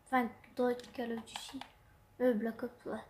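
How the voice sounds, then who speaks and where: A woman's voice: fairly high pitch, level tone, measured pace, a little hiss or hum. A young woman hosting casual Minecraft commentary with a stuffy cold, hesitant and full of fillers and restarts. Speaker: gaming channel host.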